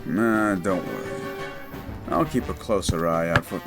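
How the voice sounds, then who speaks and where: A man playing a gruff, wisecracking, unflappable demon paranormal detective in a roleplay audio drama, with a laconic, dry delivery. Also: low-pitched, unhurried, music in the background. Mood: casual confidence.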